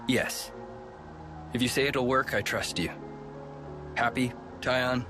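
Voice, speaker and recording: Steady male colony leader for a video game man acting, mid-range voice over music. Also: mid-range voice, slow pace, natural voice. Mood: calm, trusting.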